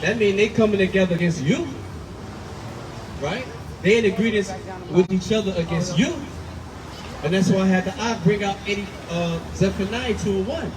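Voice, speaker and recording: A man preaching outdoors, forceful and emphatic, repeating his points. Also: high voice, normal speed, pauses often, crowd noise. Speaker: street preacher. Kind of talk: street preaching. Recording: distant mic with loud street noise.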